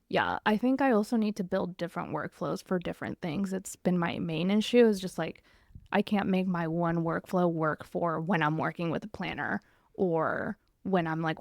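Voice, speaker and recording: A woman's voice: fairly low pitch, gravelly, no echo, studio quality. A young woman co-hosting a podcast, chatting casually and effusively in very informal speech. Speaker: podcast co-host. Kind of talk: casual chat between friends.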